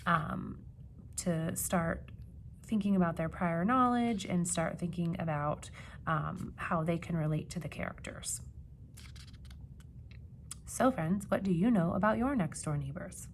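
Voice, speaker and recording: Lady teacher reading a kids story out loud, expressive, asks questions along the way, bit of noise behind her. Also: on the low side, unhurried. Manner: expressive storyteller, poses questions to young listeners. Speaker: teacher demonstrating a read-aloud. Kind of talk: storybook read-aloud with teaching asides.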